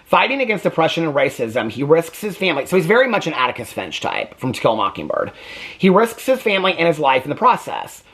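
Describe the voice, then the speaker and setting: Expressive man with a slightly husky voice. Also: a little high. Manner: candid, opinionated, hedging and circling back over points. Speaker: book review vlogger. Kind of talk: casual book review talk to camera.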